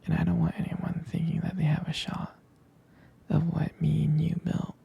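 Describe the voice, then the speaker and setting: A man playing a tender, protective boyfriend in an ASMR roleplay, murmuring hesitantly and intimately in a breathy, husky whisper. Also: fairly high pitch, completely flat delivery, normal speed, warm tone, lo-fi sound, heavily acted. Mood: tender jealousy. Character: jealous, protective, tender boyfriend consoling his partner.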